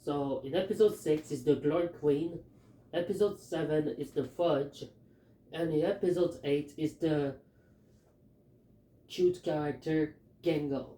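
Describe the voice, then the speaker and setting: A man with a Filipino accent talking through his fan theories in a halting, hesitant way, with many fillers. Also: a little high, warm tone, reverberant, rough recording. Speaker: fan commentator. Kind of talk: casual theory talk.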